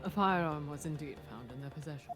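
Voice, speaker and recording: A man voicing a pompous, formal male prosecutor who speaks slowly and grandly. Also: on the high side, close to an everyday voice. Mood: haughty. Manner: slow, grand courtroom delivery. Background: game music.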